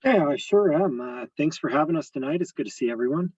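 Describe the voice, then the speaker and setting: A man with a raspy, husky voice delivers a police update at a neighborhood meeting. He speaks in a measured way with frequent pauses, as if over a video call. The sound is dry, dull and a bit distant from the mic. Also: a little high, animated, darkish tone, dry recording. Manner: measured, hesitant with fillers. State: hoarse from sneezing. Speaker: police lieutenant.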